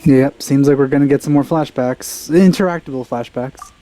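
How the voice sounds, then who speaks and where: Mellow-voiced man giving casual game commentary. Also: medium pitch, moderate pace, soft background music. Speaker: let's play commentator.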